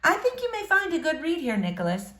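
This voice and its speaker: A woman's voice: high voice, wildly swooping pitch, fairly quick, sharp tone, reverberant, pitched above the performer's own voice, heavily acted. A woman voicing a proper, wry and encouraging woman teacher in a storybook reading, with formal phrasing. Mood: bright, polite.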